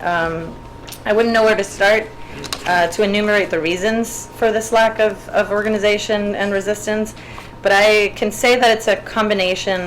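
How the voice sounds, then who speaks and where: A young woman from Puerto Rico, an artist speaking on a panel, talking haltingly with many restarts and fillers. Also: fairly low pitch, rough recording. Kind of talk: spoken panel talk. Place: event room. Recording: faint background noise.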